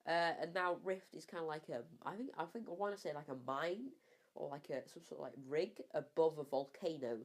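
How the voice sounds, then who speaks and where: A man's voice: on the high side, brisk. Young man with a cold, rambling. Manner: rambling, many fillers, repeats words for emphasis. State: has a cold and a slightly sore throat. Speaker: gaming YouTuber reviewing maps. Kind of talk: casual game review commentary.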